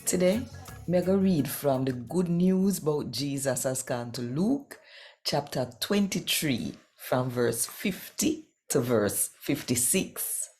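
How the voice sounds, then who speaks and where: Low-voiced, unhurried Jamaican woman reading. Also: lively intonation, a little mellow, faint music behind. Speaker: host and scripture reader. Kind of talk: devotional channel talk and scripture reading in Jamaican Patois.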